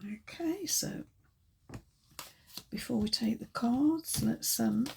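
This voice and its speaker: A woman reading tarot cards in a casual, chatty way, hesitant and full of ums, her voice still croaky from a chest infection. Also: on the high side, animated, takes it slowly, warm tone, a little hiss or hum. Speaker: tarot reader.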